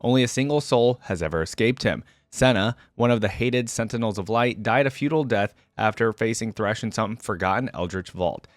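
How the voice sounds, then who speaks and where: A man's voice: on the low side, a little fast, hardly any pauses, no echo, clean studio sound. A man hosting a reaction video, reading lore aloud and commenting on it casually.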